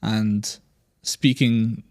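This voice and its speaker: A man's voice: on the low side, level tone, darkish tone. Man asking long questions on a podcast. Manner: measured, with fillers, builds long questions.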